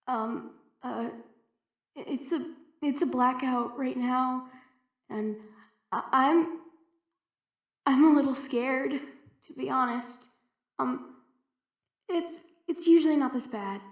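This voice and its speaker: Scared lone female researcher, played by a woman, high voice, slow halting delivery with stammers, audio drama log in an echoey room. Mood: uneasy, scared. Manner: hesitant, stammering, anxious. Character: frightened, isolated young woman researcher keeping a log. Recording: echoey roomy recording.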